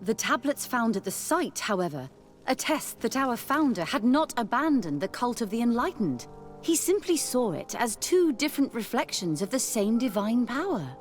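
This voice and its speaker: Earnest nun, fairly high voice. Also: female voice, very animated, normal speed, soft background music. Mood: earnest explanation. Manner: formal, earnest. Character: devout scholarly nun, earnest and principled. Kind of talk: video game drama acting.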